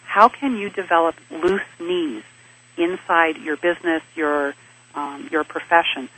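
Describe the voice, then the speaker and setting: Woman guest, bright husky voice, calm and explanatory, lists points one after another. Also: sits low, a little slow, low-quality recording. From Switzerland. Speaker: interview guest, business advisor. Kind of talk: thoughtful conversational interview answers.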